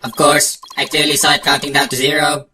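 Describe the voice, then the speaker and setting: Woman voicing a low, echoey, robotic male technician. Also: level tone, fairly quick, background noise, natural voice. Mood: defensive. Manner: clipped, technical, formal, literal-minded. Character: robotic, precise male bomb technician and shifty witness. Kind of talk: fan-dub game character acting. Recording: echoey, distant mic, music underneath. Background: music.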